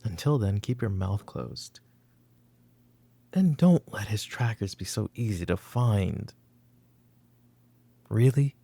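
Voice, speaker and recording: Over background music comes a weary, short-tempered mafia boss, acted by a man in a roleplay ASMR drama. He speaks slowly and commandingly, in a gravelly, breathy voice suited to a proud, possessive father. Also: lowish voice, animated, no room sound, heavily acted. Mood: stern, weary. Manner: commanding, curt, slipping into hushed muttering.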